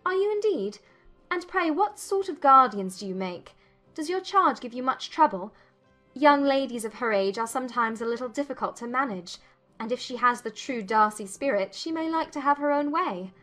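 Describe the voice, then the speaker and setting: An actress playing a spirited, teasing young Regency gentlewoman in a dramatized audiobook, with arch, formal phrasing. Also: high voice, lively intonation, moderate pace. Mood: lively, arch. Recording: music behind the voice.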